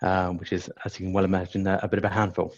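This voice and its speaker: A man, a hospital clinical leader, answering interview questions in a measured, careful way with frequent "uh" and "you know". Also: low voice, monotone, highs cut off. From England.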